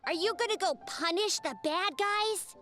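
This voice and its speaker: A woman's voice: extremely high pitch, normal speed, sharp tone, faint music behind. A woman voicing a chatty, observant young child in a video game, eager and proud. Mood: curious, concerned.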